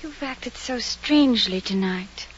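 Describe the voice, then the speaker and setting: An actress playing an excitable, worried young woman friend in a vintage radio drama, with an expressive, dramatic delivery. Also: fairly high pitch, measured pace, a little hiss or hum. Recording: muffled old radio recording.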